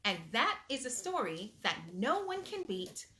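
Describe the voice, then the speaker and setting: Female storytime reader, very lively intonation, slow delivery, patient with children, slightly noisy recording. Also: on the high side. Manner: animated, patient parental asides. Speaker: storytime host reading aloud to children. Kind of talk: expressive picture-book read-aloud.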